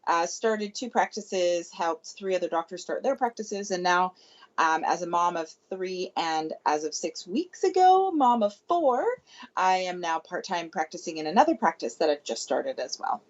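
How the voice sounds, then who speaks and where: A Canadian woman with a lowish voice speaks cheerfully and laughs easily as a guest. The sound is dull and lo-fi, like audio from a remote call. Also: sing-song, moderate pace. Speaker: chiropractor guest. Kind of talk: casual interview chat.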